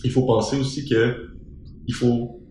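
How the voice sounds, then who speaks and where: A man's voice: medium pitch, level tone, unhurried, darkish tone, a little hiss or hum. A young man from Belgium, a calisthenics trainer being interviewed, explaining at length with many fillers and restarts. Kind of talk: casual interview talk. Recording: very echoey room.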